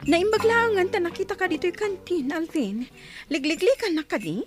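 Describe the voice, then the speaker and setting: Very high-voiced, wounded young girlfriend. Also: lively intonation, normal speed, over music. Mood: confronting, hurt. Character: jilted young girlfriend, wounded, reproachful and pleading. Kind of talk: emotional radio drama acting.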